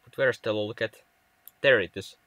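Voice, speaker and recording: A man's voice: fairly low pitch, moderate pace, fairly bright tone, no echo, lo-fi sound. A young man from Finland with a Finnish accent, chatting casually with viewers on a game livestream, often stumbling and restarting words. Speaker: livestream gamer.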